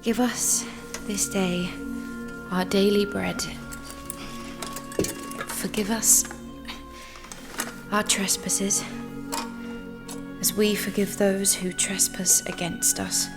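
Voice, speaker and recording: A woman's voice: medium pitch, little pitch movement, drawn-out words, pauses often, music in the background. An actress playing a solemn woman reciting a prayer in an audio drama. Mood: solemn, hushed. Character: solemn woman praying slowly.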